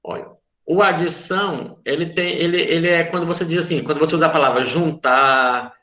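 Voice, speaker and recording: A man's voice: fairly high pitch, steady tone, a little fast, bright, forceful tone, roomy sound. A man with a Brazilian Portuguese accent, a math teacher explaining a word problem in an online class, patiently repeating and checking that students follow.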